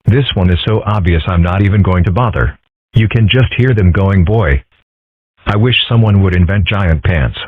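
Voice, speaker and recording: A man's voice: low voice, normal speed, breathy edge, dry recording. A man voicing a geeky, laid-back young fox boy in a comic dub, dry and matter-of-fact. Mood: amused, mocking. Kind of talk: amateur comic strip dub. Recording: muffled, phone-like lo-fi audio.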